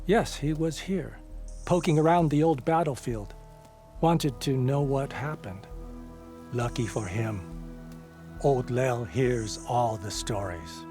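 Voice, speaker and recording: An actor playing a friendly old male scavenger in a video game, with a knowing, storytelling drawl. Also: mid-range voice. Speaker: game character. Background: game music.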